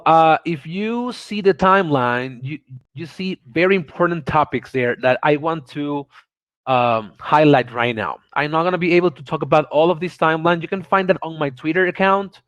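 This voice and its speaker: A man in his thirties with a Venezuelan Spanish accent presents on a video call in an eager, stumbling way. He repeats words, restarts and fills gaps with many uhs. His voice sits somewhat high, and the recording sounds dry and close. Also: a bit bright, smooth, no echo. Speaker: conference speaker. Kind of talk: online presentation.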